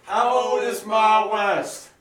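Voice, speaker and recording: A woman's voice: mid-range voice, steady tone, takes it slowly, natural voice. A young woman playing a persistent music-hall audience member putting questions in a radio play. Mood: insistent. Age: young adult. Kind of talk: radio play crowd acting. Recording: very echoey, distant, loud noise.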